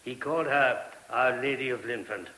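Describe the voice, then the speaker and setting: An actor playing a polite, thoughtful male monk in a radio play, explaining things in a measured, slightly hesitant way. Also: mid-range voice, pushed and bright, close to an everyday voice, low-quality recording. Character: courteous, thoughtful male monk of an abbey, a priest. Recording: hissy old radio recording.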